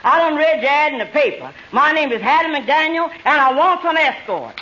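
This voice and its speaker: A woman's voice: high voice, animated, normal speed. An actress playing a bold, demanding woman who wants to rent a tall escort, on a vintage radio comedy. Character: bold, demanding woman wanting a big man. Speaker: client renting an escort. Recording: muffled old radio broadcast, faint hiss.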